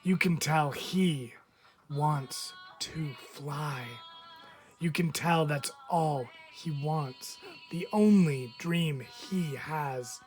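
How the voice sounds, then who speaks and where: Man, picture book read-aloud, unhurried, deliberate dramatic pauses, expressive storytelling, background music. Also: fairly high pitch. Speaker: teacher reading aloud.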